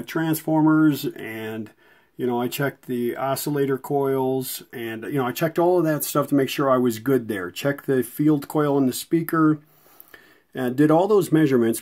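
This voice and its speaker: A man's voice: medium pitch, warm tone. A man giving a casual, unscripted hands-on walkthrough as a host, rambling in a folksy way as he thinks aloud. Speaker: host, radio restorer.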